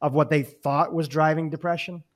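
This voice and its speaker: A man's voice: a little high, steady tone, moderate pace. A man from Kenya hosting an interview, asking conversational questions. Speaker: host.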